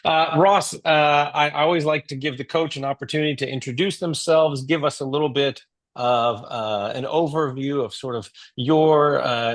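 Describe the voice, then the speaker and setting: A man's voice: medium pitch, dry recording. A man hosting a business podcast, reading an upbeat intro and then asking questions with a few fillers. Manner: upbeat, many fillers and restarts when asking. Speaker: podcast host. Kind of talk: scripted intro then interview.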